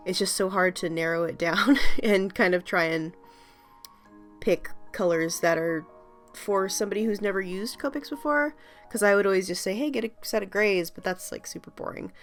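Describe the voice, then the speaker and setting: A woman's voice: medium pitch, normal speed, faint music behind. A woman hosting her art video, talking in a casual, rambling voice-over with many ums and small laughs, her voice still slightly scratchy from a cold.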